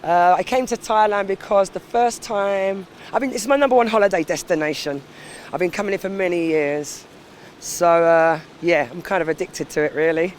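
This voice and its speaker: A man's voice: high voice, moderate pace. A man from London answering interview questions casually. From London, England. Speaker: street interviewee. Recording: outdoor street with traffic noise.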